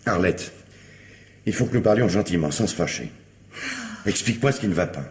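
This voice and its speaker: An actor playing a patient, wounded middle-aged husband in a French radio mystery, earnest, questioning and pleading. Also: male voice, sits low, animated, a little fast. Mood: conciliatory.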